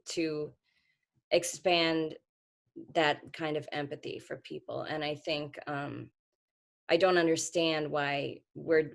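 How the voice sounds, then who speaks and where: Woman, low voice, gravelly fry, earnest author on a video call. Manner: earnest, reflective, many restarts. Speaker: author being interviewed.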